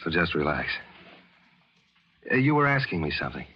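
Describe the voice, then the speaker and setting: A man's voice: deep voice, very animated, normal speed, slightly rough, exaggerated voice. An actor playing a brash, cool-headed American newspaperman in a 1940s radio thriller. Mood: casual. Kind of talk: 1940s radio drama acting. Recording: muffled old radio broadcast with loud music.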